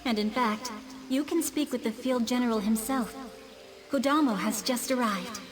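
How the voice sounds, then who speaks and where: Woman as a composed, high-voiced female leader. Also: close to an everyday voice. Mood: apologetic, relieved. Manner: formal, measured. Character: composed, diplomatic female leader preparing her people for war. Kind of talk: video game character acting. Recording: game music behind.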